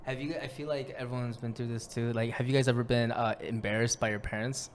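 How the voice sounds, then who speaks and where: A man's voice: medium pitch, steady tone, fast, a little hiss or hum. A man from New York chatting casually on a podcast, telling stories about his parents, laughing and swearing freely. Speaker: podcast co-host. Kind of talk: casual chat.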